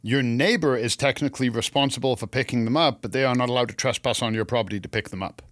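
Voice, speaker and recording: A man's voice: mid-range voice, fast, fairly bright tone, dry recording. A man with a Canadian accent co-hosting a podcast, explaining casually in a joking, emphatic way. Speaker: podcast co-host.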